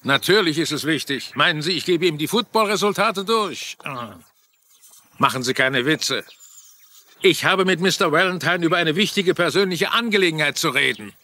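Agitated crime-drama underling, higher-pitched, sharp and very animated. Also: male voice, normal speed. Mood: impatient. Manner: urgent, insistent. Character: nervous, agitated male gangster associate on the phone. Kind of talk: dubbed TV crime drama acting.

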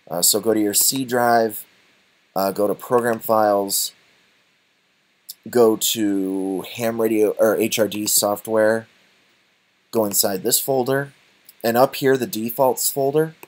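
A man presenting an unscripted software tutorial, speaking haltingly with frequent uhs as he walks through each step. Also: on the low side. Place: voice-over for a screen recording.

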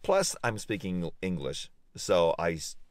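Slow, low-voiced man vlogging on camera. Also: sing-song, no room sound, clean studio sound. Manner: halting, with many fillers and restarts. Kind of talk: casual vlog chat. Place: outdoors and in a car. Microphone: handheld camera at arm's length.

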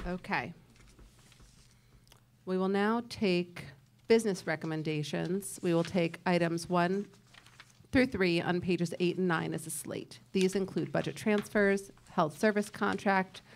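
A woman chairing a public board meeting, briskly calling motions and reading resolutions aloud in a large meeting room. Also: lowish voice, steady tone, creaky, slight background noise. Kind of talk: formal meeting procedure.

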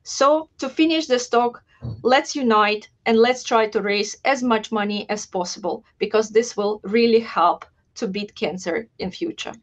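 A woman's voice: fairly high pitch, unhurried. A woman in her thirties giving a prepared talk as a guest researcher on a video call. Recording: dull, lo-fi video call audio.

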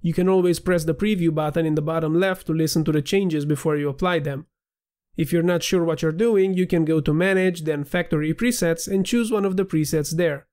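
Man narrating a software tutorial. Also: fairly high pitch, fairly quick. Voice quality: slightly nasal. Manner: clear, steady instructional delivery. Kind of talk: scripted screen-recording tutorial voiceover.

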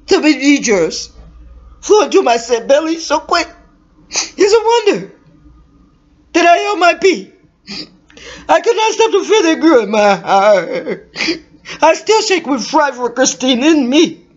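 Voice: mid-range voice, very animated, unhurried, pauses often, fairly bright tone, slightly rough, lo-fi sound, exaggerated voice. A storyteller voicing a frightened young woman who trembles and sobs with tears and shaky laughter. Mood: shaken, tearful. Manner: sobbing, breaking into shaky laughter.

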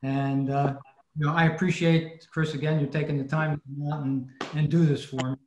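Man, higher-than-average voice, halting casual explainer, roomy echo on a video call. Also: little pitch movement, lo-fi sound, slight background noise. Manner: halting, pausing mid-sentence. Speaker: club presenter.

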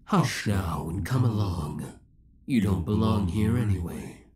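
A man's voice: on the low side, animated, measured pace, darkish tone. Man playing young male villain, possessive, coldly affectionate, theatrical, swooping intonation, audio drama, faint background noise. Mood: possessive, controlled. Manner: theatrical, formal, taunting, pet names. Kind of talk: roleplay audio drama acting.

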